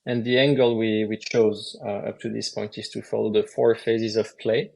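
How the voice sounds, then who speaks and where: A man, a lead game designer, explaining game mechanics at a measured pace with frequent hesitations in a live Q&A. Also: fairly low pitch, flat delivery, creaky, darkish tone, rough recording.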